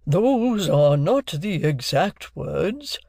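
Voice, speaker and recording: A man voicing a flustered, pompous Englishman who answers slowly and hesitantly in a novel reading. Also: a little high, very animated, gravelly, darkish tone, no room sound, studio quality, pitched above the performer's own voice, full character voice. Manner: slow, hesitant, pleading. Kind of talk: classic novel narration, character voice.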